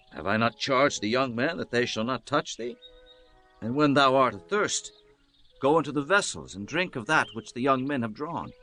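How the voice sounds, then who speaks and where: A man's voice: medium pitch, pushed and bright. A man playing a kindly, solemn biblical landowner in a dramatized scripture audiobook, with formal, declamatory delivery. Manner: declamatory, formal archaic speech.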